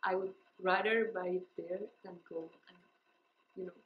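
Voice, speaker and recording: A woman's voice: lowish voice, fairly even intonation, moderate pace, phone-quality sound, a little hiss or hum. A young woman, a student, answering interview questions casually, rambling with many fillers.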